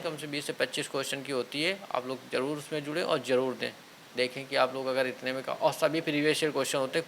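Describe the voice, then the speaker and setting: A man coaches exam students in an informal, instructive way. His voice sits a little high and has a sharp, bright edge. He keeps urging listeners on and checking they follow, with faint noise behind him. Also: vocal fry, rough recording. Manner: instructive, urging, often adds check-in tags. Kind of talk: informal motivational exam-prep talk to students.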